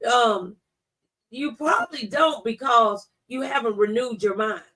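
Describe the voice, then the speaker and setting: A woman's voice: on the high side, measured pace, pushed and bright, recorded from a distance. A middle-aged woman talking conversationally about faith, quoting scripture and testifying with emphatic, preacher-like delivery. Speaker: talk show speaker on faith.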